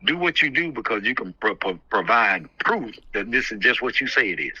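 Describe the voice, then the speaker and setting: Man, emphatic advice-giver, hard forceful tone, repeats himself for effect, muffled phone audio. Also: fairly low pitch, very animated, a little fast, breathy edge, no room sound. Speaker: community activist giving advice on a call. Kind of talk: candid phone conversation, advising. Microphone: telephone. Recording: muffled, band-limited phone call.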